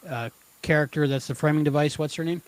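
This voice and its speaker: A man with a fairly high voice speaks as a podcast co-host in a dry, close room sound. He chats casually about what he thinks, often halting and restarting while he looks for the right words. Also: fairly even intonation, dry recording. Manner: halting, searching for words, stumbling over starts. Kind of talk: casual discussion and review.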